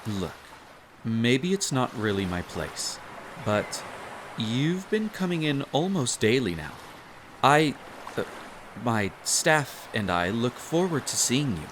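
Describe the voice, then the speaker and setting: A man's voice: medium pitch, sing-song, slow, background noise. A man acting as a smitten young barista on a beach outing in an intimate roleplay audio, playful, bashful and caring as he rambles. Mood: concerned, tender.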